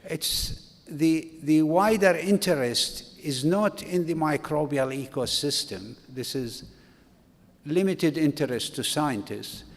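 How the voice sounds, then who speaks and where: Older male conference speaker from Iraq, halting delivery, slightly high voice, reverberant hall. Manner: slow, halting delivery with restarts. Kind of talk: academic conference talk.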